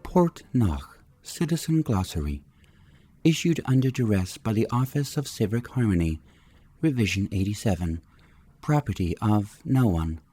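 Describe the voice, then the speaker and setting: A man's voice: lowish voice, sing-song, normal speed, warm tone, breathy edge. A man giving a scripted sci-fi audiobook reading in terse, clipped phrases. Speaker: audiobook reader.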